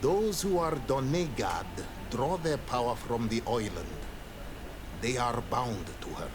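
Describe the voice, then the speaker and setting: A man's voice: medium pitch, animated, unhurried, music in the background, close to an everyday voice. An actor playing a guarded, solemn island native man in a video game, speaking formally and sternly. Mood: solemn, reverent. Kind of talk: video game character dialogue.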